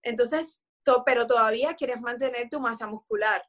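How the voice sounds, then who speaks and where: Chatty woman in her forties, high voice. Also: normal speed. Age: 40s. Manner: relaxed, explanatory, many fillers and "entonces". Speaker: guest. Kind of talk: casual conversation about fitness.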